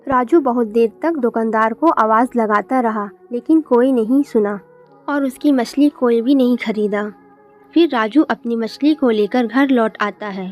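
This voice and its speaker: A woman's voice: a little high, unhurried, faint music behind. A woman reading scripted narration for a moral story, as a storyteller.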